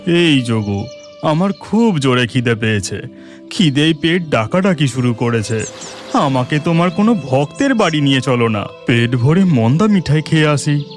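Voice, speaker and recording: Actor doing gluttonous cartoon god, eager and pleading, animated pitch swings, music behind. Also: normal speed, natural voice. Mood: whining, hungry. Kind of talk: Bengali cartoon dialogue.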